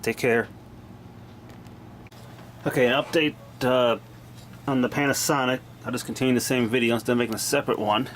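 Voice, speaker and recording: A man's voice: medium pitch, fairly even intonation, brisk, long pauses, fairly bright tone. Man in a noisy amateur recording, plain-spoken. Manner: plain-spoken, informal, mildly profane. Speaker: hobbyist repairer of vintage tape machines. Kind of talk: casual off-the-cuff repair narration.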